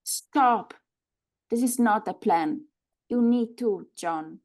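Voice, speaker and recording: A woman acting a frantic, sharp-tongued woman in a live screenplay table read, halting and repeating herself under stress. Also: a little high. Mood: tense, snappy.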